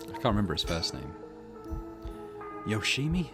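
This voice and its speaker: A man's voice: lowish voice, huge pitch swings, normal speed, creaky. A man giving casual let's play commentary on a game, musing and thinking aloud. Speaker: gaming channel host. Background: game music.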